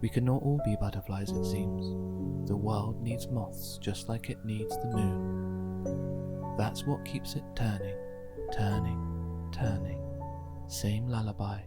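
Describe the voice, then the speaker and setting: A storybook narrator reads gently for children at a slow pace. The pitch sits in a middle range, and music plays loudly behind the words. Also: fairly even intonation. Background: music bed.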